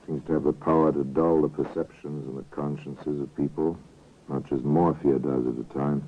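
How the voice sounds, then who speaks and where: An actor playing a musing, ominous narrator in an old-time radio drama, deliberate and portentous. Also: male voice, extremely low pitch, fairly even intonation. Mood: insinuating. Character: ominous, musing male narrator of suspense tale. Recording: muffled vintage radio recording.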